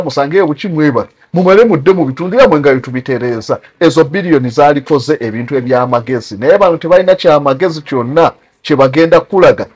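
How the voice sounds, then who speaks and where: Ugandan man, rapid animated critical commentary, slightly high voice. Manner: animated and critical, mixes English words into his speech. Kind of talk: talk show commentary.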